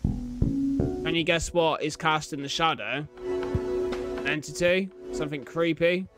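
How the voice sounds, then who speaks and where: Casting: British male reaction host, fairly high voice, chatty and colloquial, over loud music. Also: fairly even intonation, takes it slowly. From United Kingdom. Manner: chatty, colloquial, with tag questions. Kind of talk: casual reaction commentary. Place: small indoor room. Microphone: desktop condenser microphone, close. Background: music from the video being watched.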